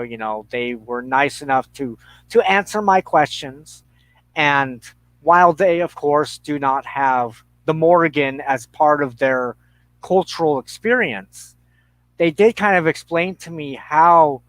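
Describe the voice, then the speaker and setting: A middle-aged man in a reflective conversation, speaking haltingly and thoughtfully with pauses mid-sentence. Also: a little high, fairly bright tone, dry recording, poor audio.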